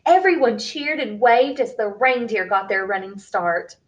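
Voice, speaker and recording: A woman reading a picture book aloud for young children in an expressive, deliberate way, as a storyteller. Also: on the high side, lo-fi sound.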